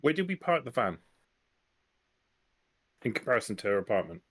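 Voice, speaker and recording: A man playing a tabletop roleplaying game, casually and haltingly asking methodical questions and stating actions. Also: mid-range voice, very animated, a bit bright, no room sound, clean studio sound.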